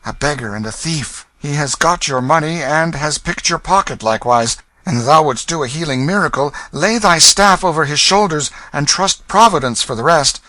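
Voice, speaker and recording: A haughty young king, put on by a male narrator answers in short, cold, disdainful lines. The voice sits a little raised, bright and dry as in a close storybook reading. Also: a little high, moderate pace, hardly any pauses, no echo, voice pushed up, rough recording. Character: proud, haughty boy king in rags.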